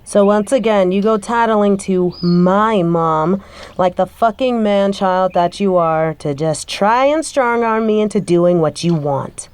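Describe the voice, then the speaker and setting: A woman's voice: mid-range voice, a little slow, a little mellow, clean tone, close to an everyday voice. A woman playing a bitter adult daughter confronting her stepfather in an audio roleplay, scathing, profane and confrontational. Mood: contemptuous. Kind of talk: scripted audio roleplay monologue.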